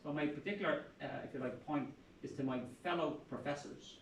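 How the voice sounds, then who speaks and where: Blunt Irish professor in an echoey room. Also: male voice, on the high side, fairly quick, slight background noise. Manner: blunt, impassioned, salty humor. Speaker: professor and panel speaker. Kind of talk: public talk at a university event. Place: lecture room. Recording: echoey room, speaker far from mic.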